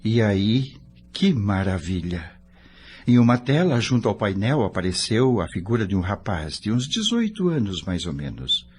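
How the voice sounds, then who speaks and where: Expressive low-voiced man playing a curious spirit narrator. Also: moderate pace, slight background noise. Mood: awed, moved. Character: curious, awed male spirit newcomer telling his story. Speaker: narrator and protagonist of an audio novel. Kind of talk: dramatized scripted narration.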